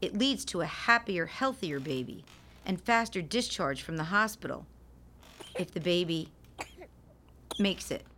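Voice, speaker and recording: An anxious, gentle young baby's mother. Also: on the low side, animated, unhurried, pauses often, slight background noise. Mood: earnest, explaining. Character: anxious, weary, gentle mother of a premature newborn. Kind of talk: radio play dialogue.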